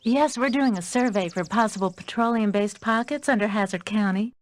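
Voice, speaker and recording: A woman's voice: medium pitch, normal speed, natural voice. A woman playing a polite, composed young researcher in a video game cutscene.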